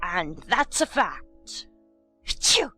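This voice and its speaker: A narrator voicing a rude, bad-tempered Duchess with a hoarse growl in a storybook audiobook. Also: medium pitch, big pitch swings, bright, forceful tone, soft background music, pitched above the performer's own voice, exaggerated voice. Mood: scornful. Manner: brusque, slow, snappish.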